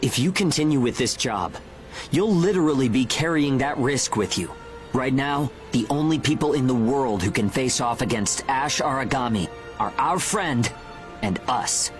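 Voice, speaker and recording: A man's voice: medium pitch, lively intonation, normal speed, natural voice. Man acting earnest young game hero over music. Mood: pressing, assertive. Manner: earnest, persuasive. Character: earnest, determined young man leading his crew. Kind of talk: dramatic video game voice acting.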